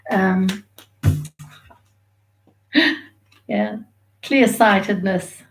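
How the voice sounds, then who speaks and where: A woman's voice: mid-range voice, drawn-out words, vocal fry, faint music behind, rough recording. A woman, from Sweden and Australia, giving a chatty, rambling live tarot reading and laughing easily. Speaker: tarot reader.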